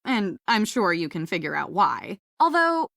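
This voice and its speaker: A woman voicing a mysterious, theatrical witch tour guide in a video game, speaking deliberately with an ominous manner. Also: medium pitch, sing-song, vocal fry, fairly bright tone, no echo, studio quality. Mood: teasing, inviting. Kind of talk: dramatic ghost-story narration, video game voice acting.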